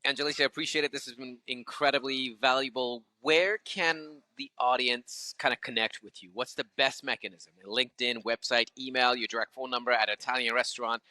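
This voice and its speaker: A man hosting a podcast, closing the interview with quick, joking questions. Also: medium pitch, fairly even intonation, bright, forceful tone, no echo. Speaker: podcast host. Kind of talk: casual interview chat.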